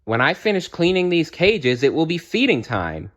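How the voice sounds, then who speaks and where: A man's voice: on the high side, big pitch swings, normal speed, a bit bright, highs cut off, voice pushed up. A man voicing an eager, earnest young boy in a children's storybook.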